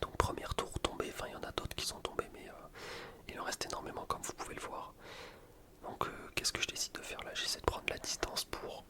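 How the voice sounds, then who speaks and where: A man whispering casual, slangy ASMR commentary over gameplay, explaining things in a rambling way. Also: fairly quick. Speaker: gaming ASMR video creator.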